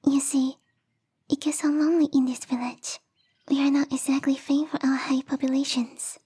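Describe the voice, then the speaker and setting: Woman as a high, whispering, bubbly young snow spirit. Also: flat delivery, moderate pace, close to an everyday voice. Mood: bubbly, chatty. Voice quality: soft, breathy whisper. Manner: bubbly, giggly, coy rambling. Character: bubbly, lovestruck young female snow-spirit yuki onna, lonely and rambling. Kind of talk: ASMR roleplay, whispered and intimate.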